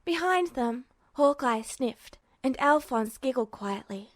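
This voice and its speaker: A woman reading a fan fiction story aloud with steady narration. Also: high voice, slow, no echo, studio quality. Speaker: podfic reader.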